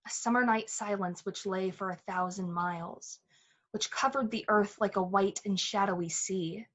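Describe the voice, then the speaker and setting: A woman's voice: mid-range voice, moderate pace, fairly bright tone, natural voice. A woman playing the storyteller of a thriller, narrating intensely and with suspense in the manner of a 1940s radio play. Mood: hushed suspense. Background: music.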